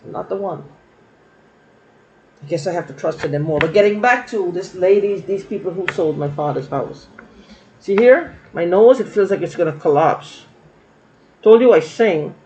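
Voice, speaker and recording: A woman's voice: on the low side, normal speed, pauses often, noisy background. A woman vlogging in a rambling, aggrieved monologue, circling back and repeating herself. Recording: dull lo-fi recording.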